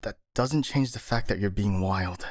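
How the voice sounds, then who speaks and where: Man acting exasperated ninja, lower-pitched, dry. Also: moderate pace, no echo, close to an everyday voice. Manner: sighing, dry, doubting. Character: exasperated, skeptical male ninja, flustered and worried. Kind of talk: ASMR roleplay audio drama acting.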